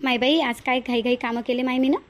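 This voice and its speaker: Woman voicing a cautious village leader lady, high voice, quick, rural Vidarbha Marathi accent, homely, questioning, cartoon dialogue. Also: steady tone, lightly acted. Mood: chatty.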